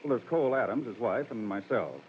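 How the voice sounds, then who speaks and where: An actor playing an earnest, helpful expedition assistant, explaining things politely and plainly in 1940s radio style. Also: male voice, medium pitch, animated, fairly quick, natural voice. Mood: earnest, explanatory. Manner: explanatory, polite, matter-of-fact. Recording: muffled old radio, noisy aircraft ambience.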